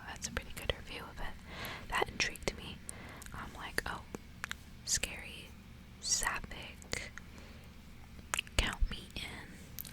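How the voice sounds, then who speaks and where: A woman hosting ASMR in a breathy whisper, chatting casually and reading from a book. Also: poor audio. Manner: casual, rambling. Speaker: ASMR host.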